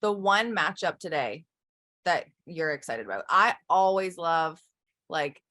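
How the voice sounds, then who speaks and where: A woman's voice: on the low side, lively intonation, unhurried, pushed and bright. A woman hosting a casual sports interview, talking in a direct, probing way. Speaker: sports show host, interviewer.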